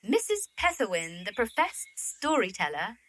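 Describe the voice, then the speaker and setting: A woman's voice: mid-range voice, very animated, moderate pace, a bit bright. A woman playing a poised young widowed lady poet in a period audio drama, with theatrical, refined diction. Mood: airy, confiding.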